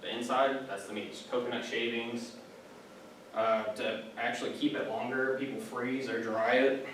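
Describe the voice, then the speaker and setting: A man's voice: mid-range voice, level tone, moderate pace, fairly bright tone. A young man giving a casual, chatty classroom presentation, full of fillers and asides. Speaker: student giving a class speech. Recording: echoey room, distant mic, background noise.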